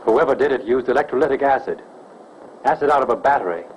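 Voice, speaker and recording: A man's voice: mid-range voice, expressive, quick pace, natural voice, poor audio. Guy doing an earnest young sleuth, mid voice, old film hiss. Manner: earnest, gently joking. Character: devoted young male amateur sleuth. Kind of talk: 1930s film acting.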